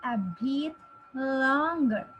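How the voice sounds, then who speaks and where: Slow, high-pitched woman teaching children. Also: fairly bright tone, highs cut off, a little hiss or hum. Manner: deliberate, encouraging, exaggerated enunciation. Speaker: reading teacher for young children. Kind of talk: phonics lesson addressed to children.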